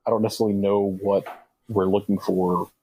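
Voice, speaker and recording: A man's voice: lowish voice, level tone, fairly quick, darkish tone, breathy edge, low-quality recording. A man playing a tabletop roleplaying game, casually improvising and describing what his character does.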